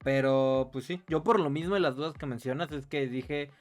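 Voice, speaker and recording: A man with a Mexican Spanish accent speaks in a medium-pitched voice as a sports podcast co-host. He lays out his football opinions in a casual, conversational way over faint music. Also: moderate pace.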